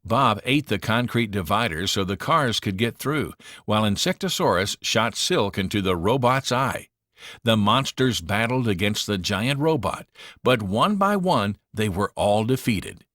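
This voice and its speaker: A man narrating a children's read-along storybook in an expressive, dramatic manner. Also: on the low side, measured pace, dry recording, studio quality.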